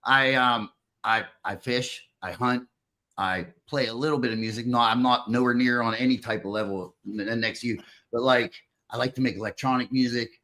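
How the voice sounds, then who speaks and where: A man hosting a live podcast, chatting casually in an excitable, rambling way on a video call. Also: mid-range voice, moderate pace, a little hiss or hum. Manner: excitable, rambling, says "dude" and "bro". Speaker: podcast host. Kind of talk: casual chat.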